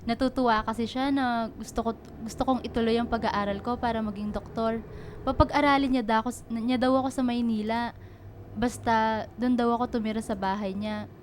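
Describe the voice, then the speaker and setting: Woman acting a high-voiced earnest young Filipina girlfriend. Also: fairly even intonation, brisk, slight background noise, natural voice. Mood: hopeful. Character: polite, earnest young girlfriend dreaming of becoming doctor. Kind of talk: radio drama acting.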